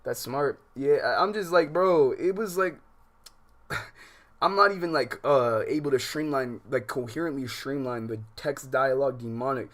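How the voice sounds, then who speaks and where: A man's voice: mid-range voice, normal speed, a bit bright. An American man hosting a game livestream, switching between slangy, profane, exasperated chat and reading visual novel text aloud. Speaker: livestream host. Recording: music behind the voice.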